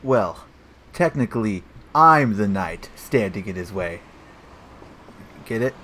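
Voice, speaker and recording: A man's voice: lowish voice, expressive, slow pace, fairly bright tone, heavily acted. A man playing a cocky, bumbling hardboiled police detective in a comic audio play, self-satisfied and full of old-fashioned slang. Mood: boastful.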